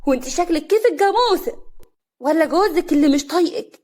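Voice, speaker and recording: Woman playing vain young village sister-in-law, high voice, sly taunts, Upper Egyptian accent, audio drama tale. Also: expressive, fast, darkish tone. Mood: angry taunting.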